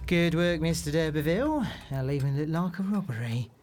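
A man's voice: on the high side, lively intonation, a little fast, a little mellow, slight background noise, lightly acted. An actor playing a coolly cruel male villain in an audio drama, taunting with controlled politeness. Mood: coolly threatening. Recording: music under the voice.

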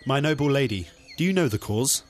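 A man's voice: medium pitch, animated, fairly quick, soft background music, close to an everyday voice. A man playing an earnest, coaxing young nobleman in a student Shakespeare audio play. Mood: concerned. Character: Benvolio, concerned, coaxing young nobleman and cousin.

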